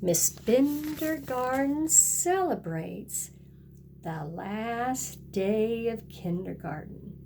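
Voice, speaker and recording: A woman's voice: on the high side, lively intonation, very slow, background noise. A woman kindergarten teacher reading a picture book aloud and talking directly to young children in a sing-song way.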